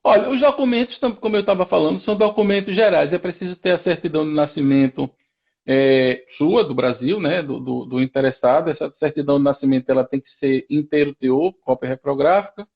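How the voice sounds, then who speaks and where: A man's voice: on the high side, brisk, gravelly, dull audio. A man with a Pernambuco accent from Recife, a lawyer guest on a live stream, explaining procedures methodically step by step with frequent restarts. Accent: Pernambuco Brazilian Portuguese. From Recife, Brazil.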